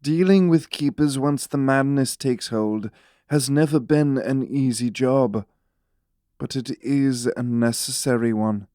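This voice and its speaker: An actor playing a government minister in his thirties in a fantasy audio drama, with a noble upper-class accent and a measured, formal manner. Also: medium pitch, dark tone, dry recording, clean studio sound, natural voice. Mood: cool, matter-of-fact. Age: 30s.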